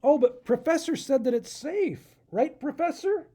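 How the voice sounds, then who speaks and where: A man voicing an excitable, reckless young raccoon inventor in an eager storybook read-aloud. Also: extremely high pitch, a little slow, pitched above the performer's own voice. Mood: eager, confident. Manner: eager, exclamatory.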